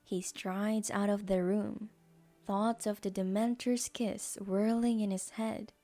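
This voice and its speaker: A woman's voice: medium pitch, level tone, takes it slowly, mellow, faint music behind. A woman reading scripted story narration as the narrator of an audio drama.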